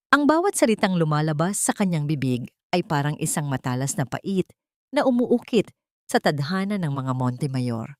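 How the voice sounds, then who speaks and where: A woman narrating a scripted dramatic audio story with solemn, emphatic, measured phrasing. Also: fairly low pitch, darkish tone, dry recording, studio quality.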